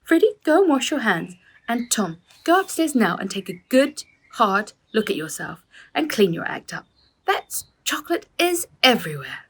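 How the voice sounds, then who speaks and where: A woman acting a sharp, squawking scolding mother, voice raised high. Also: very animated, normal speed, husky, full character voice. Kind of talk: storybook character voice.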